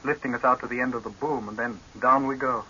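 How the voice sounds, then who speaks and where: An actor playing an earnest, deferential doctor and deep-sea diving expert in a 1930s radio horror drama, speaking in a measured, respectful, formal way. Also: male voice, steady tone, pushed and bright, lightly acted. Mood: grave, explanatory. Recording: dull, hissy old 1930s radio recording.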